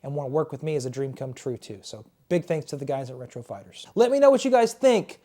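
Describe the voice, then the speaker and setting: A man in his thirties chatting casually and enthusiastically in a collector's vlog, his voice worn and starting to go hoarse. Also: on the high side, animated, fast talker, mellow. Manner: chatty, enthusiastic, repeats words. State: losing his voice. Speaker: retro game collector and YouTuber. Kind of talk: casual show-and-tell vlog.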